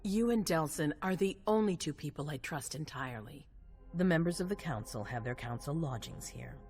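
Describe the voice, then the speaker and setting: A regal, commanding vampire woman, measured and calculating. Her low voice moves through a wide range, with faint music behind. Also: lively intonation, darkish tone, slightly rough, full character voice. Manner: measured, authoritative. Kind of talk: dramatic video game cutscene acting.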